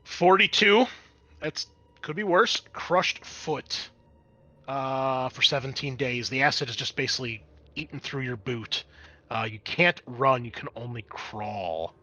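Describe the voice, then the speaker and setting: Bright-voiced man running a tabletop game. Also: mid-range voice, a little slow. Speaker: game master. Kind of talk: running a tabletop role-playing game, improvised narration and rules talk.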